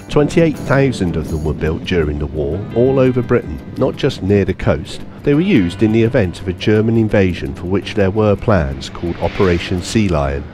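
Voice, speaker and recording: A man with a very low, deep voice narrates his travel vlog as a scripted voice-over. His delivery is steady and informative, like a guide reading out facts about each stop. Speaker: vlogger narrating a motorbike tour.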